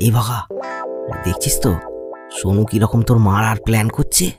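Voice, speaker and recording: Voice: mid-range voice, normal speed, close to an everyday voice. A performer voicing a mischievous, scheming sidekick in a comedy cartoon, exaggerated and egging others on. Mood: alert. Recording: music behind the voice.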